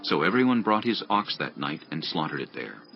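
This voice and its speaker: A man's voice: a bass voice, very animated, fairly quick, vocal fry, highs cut off, soft background music. A man reading scripted narration for a dramatized audio Bible.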